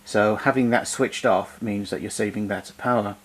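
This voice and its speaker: A man presenting vintage equipment in an unscripted demonstration, explaining things in a hesitant, pausing way. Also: on the low side, steady tone. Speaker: vintage tape recorder collector presenting.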